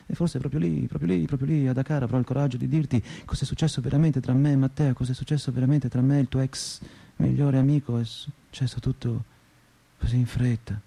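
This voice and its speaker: A man's voice: medium pitch, steady tone, brisk, vocal fry, dark tone, breathy edge. Guy acting a thirty-something stoner student, sardonic radio play monologue, mid voice, swings from mutter to outburst. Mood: confiding. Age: 30s. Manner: sarcastic, slangy, declamatory, swinging between mutter and outburst. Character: restless, sardonic 35-year-old provincial student pot-smoker telling his story. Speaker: stage actor, monologue performer.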